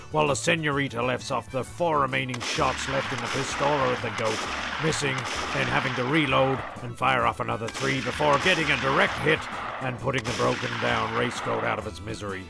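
A man's voice: medium pitch, vocal fry. A man delivering scripted comic narration in a dry, mock-dramatic, crude way. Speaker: narrator of a comedy sketch series.

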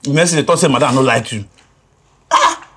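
A Nigerian actor playing a talkative, scoffing friend who exclaims and repeats words for emphasis in film dialogue. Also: male voice, medium pitch, huge pitch swings, quick pace, pushed and bright, breathy edge, full character voice. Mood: grumbling. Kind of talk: comedic film dialogue in Pidgin.

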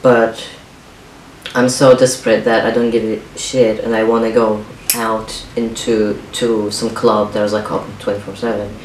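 A young man whose first language is Arabic, talking in a halting, hesitant way with many restarts in a confessional home vlog. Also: fairly low pitch, little pitch movement. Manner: halting, hesitant, soft-spoken with frequent restarts. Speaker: vlogger. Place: small home kitchen, indoors. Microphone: camera on a stand a few feet away. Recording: roomy distant camera mic with faint hiss.

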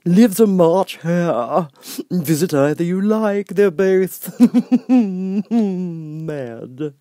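A man's voice: high-pitched, animated, extremely slow, dark tone, dry recording, studio quality, voice pushed up, exaggerated voice. A man voicing a grinning, mad, teasing Cheshire cat in an audiobook, drawling his words and breaking into giggles and hums. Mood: gleefully mad.

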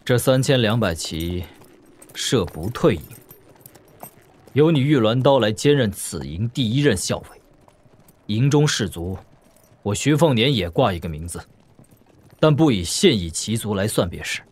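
Calm commanding young lord. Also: male voice, on the low side, unhurried, long pauses. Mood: solemn. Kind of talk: Chinese audio drama acting.

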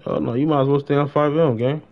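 A man's voice: fairly high pitch, fairly even intonation, quick pace, gravelly, phone-quality sound. American guy streaming, slangy and noisy. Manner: repeats phrases and uses heavy street slang. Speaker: live game streamer. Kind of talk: casual gaming stream chat in slang. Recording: noisy live stream with game sounds.

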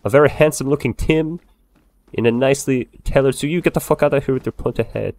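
A man improvising in-character game roleplay, swearing and ranting in an excitable way. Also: medium pitch, quick pace. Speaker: roleplayer playing a bar owner.

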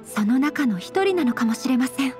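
Casting: refined young lady for an anime game, high-pitched, formal, gentle and composed. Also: expressive, fairly quick, soft background music. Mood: earnest, composed. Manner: very formal honorific speech, composed and courteous. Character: polite, refined, gentle young noblewoman of fantasy Japan. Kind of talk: Japanese anime game voice acting.

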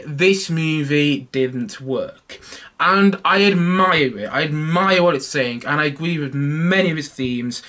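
A young man hosting his own film review podcast from a small home room, talking casually and animatedly, with a stuffy nose from hay fever. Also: fairly high pitch. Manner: animated, waves his hands while talking. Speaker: podcast host.

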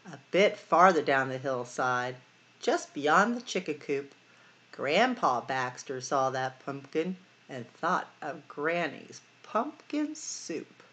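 Female storytime host, middle-aged. Unhurried, very lively intonation, dramatic sing-song delivery for young listeners. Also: low-pitched. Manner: sing-song, dramatic emphasis and drawn-out words. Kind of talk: expressive picture-book read-aloud for children.

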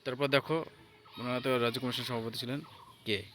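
A man giving an informal lesson as an exam tutor, halting and repeating himself as he checks in with listeners. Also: mid-range voice, fairly even intonation, fairly bright tone, a little hiss or hum. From India. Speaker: exam tutor presenting answer keys.

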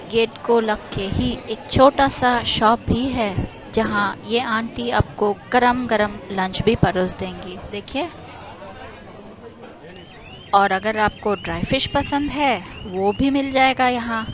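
A woman's voice: a little high, takes it slowly, lo-fi sound, crowd noise. A woman narrating a travel vlog as host in a chatty, enthusiastic way, switching between Hindi and English. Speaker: vlogger host. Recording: voice-over with clear background music.

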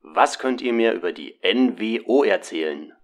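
Man as low-voiced gruff film director. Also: animated, moderate pace, lightly acted. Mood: irritated, serious. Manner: curt, crude. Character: gruff, foul-mouthed film director, grumpy older client. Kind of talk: parody detective audio drama acting.

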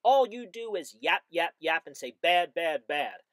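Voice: medium pitch, very animated, measured pace, no echo, studio quality. A reader playing a greedy, stubborn businessman who rants back defiantly, repeating himself. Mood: angry, defiant. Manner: defiant, repetitive ranting. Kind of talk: storybook reading.

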